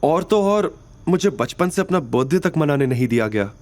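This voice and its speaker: Guy doing a wronged young woman, high voice, pleading and wounded, audio drama style. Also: lively intonation, moderate pace, a little mellow, natural voice. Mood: sad, resigned. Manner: emotional, pleading delivery.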